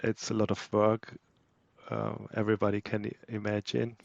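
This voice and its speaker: A man's voice: fairly low pitch, completely flat delivery, normal speed, gravelly, dry recording. A man hosting an online audio chat, bringing people on stage in an easygoing way, heard on dull, dry call audio. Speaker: host.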